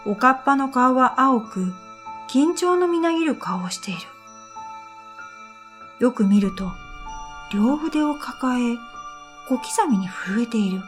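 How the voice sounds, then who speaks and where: A woman reading a scripted first-person story in a reflective, measured way, as narrator of an audio drama. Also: sits high, lively intonation. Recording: background music under the voice. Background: music.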